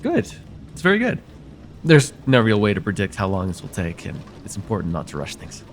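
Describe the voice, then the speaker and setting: A man playing a courteous nocturnal vampire therapist in an ASMR roleplay, speaking formally and gently to the listener in a soothing, slightly hesitant way. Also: lowish voice, wildly swooping pitch, fairly quick, a little hiss or hum. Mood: soothing, attentive.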